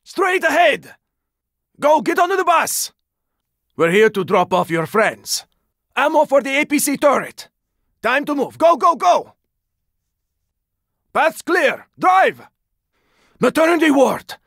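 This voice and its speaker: A gruff, weary squad fighter from a zombie video game. His voice is raspy and full of gravel, with lively swings in pitch. He talks in short, urgent bursts at an unhurried pace, with a sardonic edge. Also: no echo, studio quality, exaggerated voice. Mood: urgent, shouting, then weary, grim. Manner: terse, urgent, swearing. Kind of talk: video game character combat barks.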